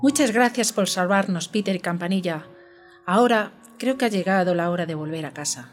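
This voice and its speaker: A woman's voice: fairly low pitch, a little fast, soft background music, lightly acted. A woman playing a sweet, hopeful young girl who tells stories, in a children's audio drama. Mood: hopeful, grateful.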